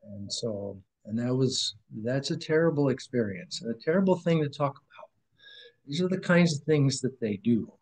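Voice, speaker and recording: A man's voice: mid-range voice, expressive, moderate pace, darkish tone, low-quality recording. A man in his fifties, a podcast guest, giving an earnest, rambling personal account over a video call, with restarts and sudden drops in volume. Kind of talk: emotional personal testimony. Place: room at home with framed picture on wall. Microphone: video call webcam.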